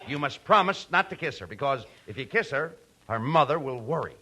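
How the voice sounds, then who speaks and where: Brisk, scolding comedy straight man. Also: medium pitch, big pitch swings, bright, forceful tone. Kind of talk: 1940s radio comedy. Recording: noisy 1940s radio broadcast.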